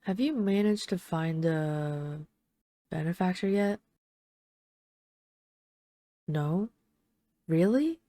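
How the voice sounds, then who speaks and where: Low, mellow flirtatious vampire lady played by a woman. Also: expressive, no room sound. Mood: probing, matter-of-fact. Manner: teasing, coaxing, deliberate with lingering pauses. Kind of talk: intimate audio roleplay addressed to the listener.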